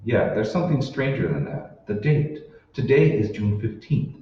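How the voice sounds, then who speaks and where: A man's voice: medium pitch, expressive, moderate pace, warm tone, lightly acted. A man playing a bewildered, skeptical everyman husband in an old-time radio drama, puzzled and questioning. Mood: puzzled, wary. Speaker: amateur stage actor. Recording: roomy, distant, dull hall recording with hiss.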